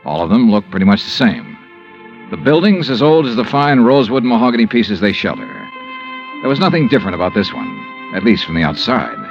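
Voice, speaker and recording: A man's voice: lowish voice, big pitch swings, fairly quick. Hard-boiled man narrating an old radio mystery. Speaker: insurance investigator and narrator. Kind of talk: first-person 1950s radio drama narration. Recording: dull vintage radio recording with music.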